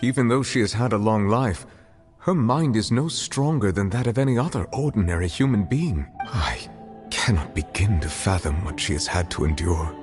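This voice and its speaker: A composed, dignified judge from a video game, acted by a man, speaks in a low voice over soft music. He is grave and courteous. His phrasing is measured, formal and earnest. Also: lightly acted. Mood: troubled, compassionate. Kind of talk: video game character dialogue. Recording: background music under studio voice.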